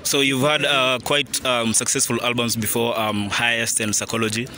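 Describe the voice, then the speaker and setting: A man asking interview questions in a hesitant, halting way. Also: medium pitch, flat delivery, bright, forceful tone.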